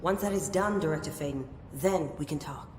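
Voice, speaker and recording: Lady doing a no-nonsense science woman, bossy and quick, lowish voice, noisy game audio. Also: natural voice. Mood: firm. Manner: brisk, commanding. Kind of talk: game voice acting.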